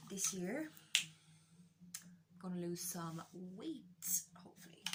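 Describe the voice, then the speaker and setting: A woman's voice: sits low, gravelly. Chatty Irish woman, noisy recording. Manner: self-deprecating, joking, candid, mildly sweary. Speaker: beauty vlogger doing a makeup tutorial. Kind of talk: casual chatty makeup tutorial.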